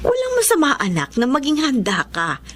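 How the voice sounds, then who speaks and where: A woman's voice: sits high, huge pitch swings, moderate pace, a bit bright, full character voice. A woman playing a concerned, protective middle-aged mother in a Filipino radio drama, with a Filipino accent. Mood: concerned, advising. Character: protective, cautioning mother of a grown daughter.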